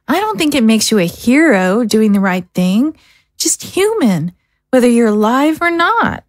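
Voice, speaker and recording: A woman's voice: fairly high pitch, sing-song, a little slow, no room sound, clean studio sound. A woman playing a guarded, disillusioned young woman in an audio drama, speaking in a hesitant, downbeat way. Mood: modest, downcast. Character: guarded, disillusioned young woman, modest former militia member.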